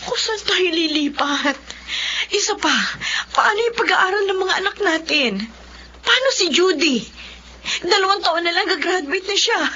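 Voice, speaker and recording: Fussy, high-pitched Filipino mother. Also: lively intonation, unhurried, pushed and bright, heavily acted. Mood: worried, distressed. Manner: nagging, exclamatory. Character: fussy, scolding middle-aged mother, anxious for her family. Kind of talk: radio drama acting. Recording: dull lo-fi audio with background noise.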